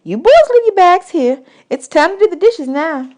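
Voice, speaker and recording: A woman's voice: very high-pitched, very animated, normal speed, darkish tone, voice pushed up. A woman voicing a kindly, teasing camp woman in a storybook, folksy, motherly and reassuring.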